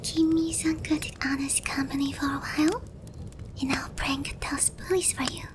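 A woman playing a lonely, sweet and softly possessive young witch girl in an ASMR roleplay, breathy and gentle. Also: high voice, fairly even intonation, background noise, natural voice. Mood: soft, coaxing. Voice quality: soft, breathy, whispery. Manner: slow, gentle, coaxing.

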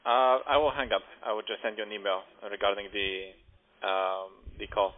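A man's voice: on the low side, normal speed. A man working as a cold caller, speaking hesitantly and apologetically. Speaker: cold caller for trading firm. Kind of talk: scripted call-centre talk, then apologetic. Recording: tinny phone line.

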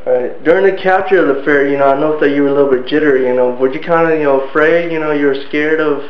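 A man's voice: on the high side, racing, very echoey, dull audio. A young man from Vietnam whose first language is Vietnamese, rambling with lots of fillers in a joking home-video interview. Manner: rambling, full of "you know" fillers.

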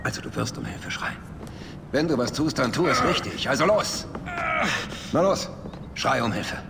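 Flamboyant, wildly expressive villain. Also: male voice, medium pitch. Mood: taunting, commanding. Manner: theatrical, mercurial, mock-polite, drawn-out delivery. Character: flamboyant menacing warlord host, theatrical middle-aged man. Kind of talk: German video game voice acting. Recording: long reverb, clear background ambience noise.

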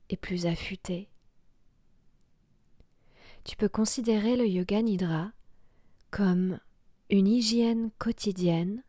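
Female meditation guide. Half-whispered, breathy and soothing, very unhurried, close and dry sound. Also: on the low side, no room sound. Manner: slow, soothing, hushed delivery with long pauses. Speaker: yoga nidra guide.

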